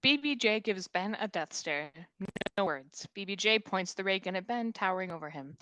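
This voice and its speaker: A woman's voice: mid-range voice, lively intonation, moderate pace, fairly bright tone, low-quality recording. A woman reading scripted stage directions aloud at a table read.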